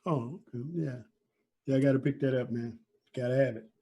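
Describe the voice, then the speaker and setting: A man's voice: medium pitch, fairly even intonation, brisk, dark tone, breathy edge, highs cut off. A man chatting casually as a podcast co-host, informal, agreeable and openly appreciative. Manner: agreeable, informal, effusive thanks. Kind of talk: casual chat.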